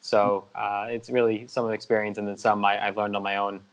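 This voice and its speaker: A man's voice: fairly low pitch, level tone, brisk, poor audio. A young man from the States, a guest answering interview questions steadily, with many "uh" and "you know" fillers. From United States. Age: young adult.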